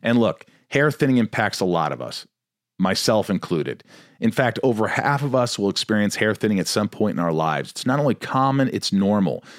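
A man with a fairly low voice reads a sponsor advertisement in a steady, promotional way. The sound is dry and close, with no room around it. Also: moderate pace, no room sound. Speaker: podcast host reading an ad. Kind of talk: host-read advertisement.

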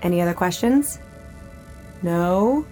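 A woman's voice: on the low side, animated, slow, dark tone, soft background music. A woman playing a friendly, upbeat holographic assistant guiding a student tour in an audio drama, patient and polished. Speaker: tour guide. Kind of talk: naturalistic audio drama acting.

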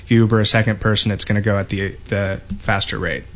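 A man talking casually as a gaming podcast host, explaining things in a hesitant, halting way. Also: on the low side, level tone.

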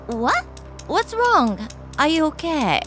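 High-voiced, bewildered fiancée. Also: female voice, huge pitch swings, slow pace, over music, heavily acted. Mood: sleepy confusion. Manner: bewildered, questioning. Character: confused fiancée woken by a phone call. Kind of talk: audio drama acting.

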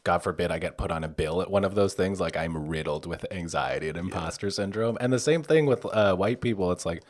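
Very low-voiced New York man, laid-back. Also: brisk. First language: Spanish. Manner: relaxed, rambling, many "like"s. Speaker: podcast host. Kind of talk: casual interview chat.

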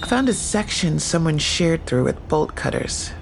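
An actress playing a plain-spoken, persistent police investigator in an audio drama, matter-of-fact and inquisitive. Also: female voice, deep voice, very animated, moderate pace, gravelly, noisy background, exaggerated voice.

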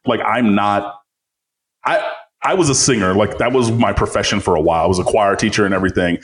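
A man with a low bass voice, a guest on a podcast, telling stories in a rambling, animated way full of fillers. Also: a little fast. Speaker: podcast guest, site editor. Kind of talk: casual chat.

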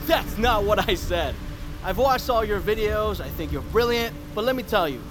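An actor playing a glib, self-assured celebrity surgeon in an audio drama. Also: male voice, high voice, lively intonation, moderate pace, background noise. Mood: joking. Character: cocky celebrity surgeon man.

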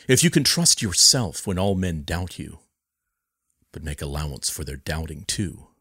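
A man giving a solemn, deliberate dramatic recitation in the style of a poetry reading, with emphatic pauses. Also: low-pitched, a bit bright, no room sound. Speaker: poetry reader. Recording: close dry voice over background music. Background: music.

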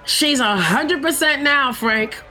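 An actress playing a guarded, businesslike Broadway producer in an audio drama, polished and dryly defensive. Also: female voice, sits high, lively intonation, takes it slowly, pushed and bright, soft background music, exaggerated voice. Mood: poised, defensive.

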